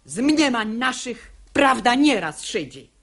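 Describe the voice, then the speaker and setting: A woman's voice: on the high side, very animated, takes it slowly, a bit bright, heavily acted. An actress playing a stubborn, sanctimonious elderly mother in a radio play, preaching in theatrical verse. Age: older. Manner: sententious, theatrical.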